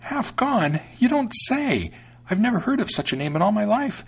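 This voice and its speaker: A man's voice: big pitch swings, fairly quick, creaky, husky, full character voice. A man voicing a small, trusting female storybook mouse, inquisitive and emphatic, full of wonder. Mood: astonished, suspicious. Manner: high-lifted, emphatic, wondering delivery. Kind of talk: storybook character voice.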